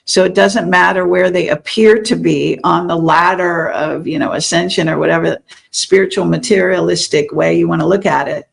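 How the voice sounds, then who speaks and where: Gravelly-voiced rambling older woman. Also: fairly low pitch, steady tone, normal speed. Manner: rambling, meandering, many fillers. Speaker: interview guest, show host. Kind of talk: casual interview chat.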